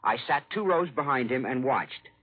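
A male foreign correspondent from 1940s radio with a mid-pitched, very animated voice. He reads his report like a story for broadcast. The recording is old, thin and phone-like. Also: normal speed, breathy edge.

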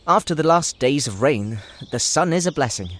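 Polite, whimsical older male hobbit man acting for audio drama; genial, musing, lively intonation. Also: a little high, normal speed. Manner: courteous, musing, hesitant openings.

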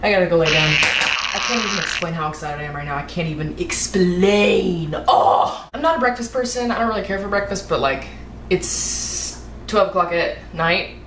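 A young woman goofing around while she cooks in her home kitchen, talking to the camera in a silly, self-mocking way with loud outbursts. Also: on the low side, normal speed. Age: young adult. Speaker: vlogger. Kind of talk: goofy cooking vlog. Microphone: camera across the kitchen. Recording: echoey kitchen, audible noise.

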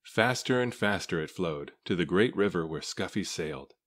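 A man with a low voice narrates a children's storybook. He reads aloud in measured, deliberate phrases. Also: lively intonation, a bit bright. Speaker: storybook reader.

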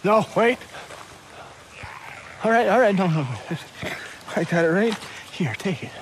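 High-pitched, desperate wounded crook. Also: male voice, animated, a little slow, noisy background. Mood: panicked. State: in pain, pleading frantically. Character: wounded, desperate, pleading crook, spiteful and cowardly. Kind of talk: intense video game cutscene acting.

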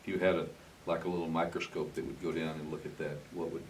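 A man's voice: extremely low pitch, little pitch movement, fast. An older man hosting a conversational television interview, informal and searching for his words. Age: older, 60s-70s. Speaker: interviewer, host. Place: indoor TV studio, green screen. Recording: slightly distant mic, faint hiss.

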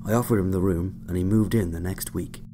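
A man narrating a scripted autobiographical story in a measured, dry way. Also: low voice, steady tone, background noise. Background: loud music.

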